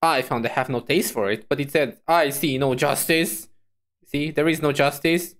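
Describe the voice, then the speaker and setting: A woman's voice: low-pitched, moderate pace. A woman reacting to a video as a VTuber, musing aloud in a casual, hesitant, repetitive way.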